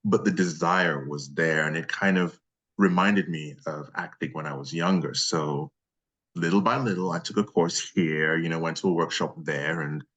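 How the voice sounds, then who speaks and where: Very deep-voiced man chatting as interview guest. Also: fairly bright tone. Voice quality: deep bass voice. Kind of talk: casual interview chat.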